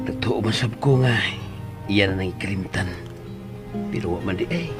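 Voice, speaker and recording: Man playing a flustered wistful Filipino father, Visayan accent, lowish voice, radio drama, music underneath. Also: normal speed, natural voice. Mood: wistful, confessing. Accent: Philippine, Visayan. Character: flustered, wistful middle-aged father recalling a boyhood crush.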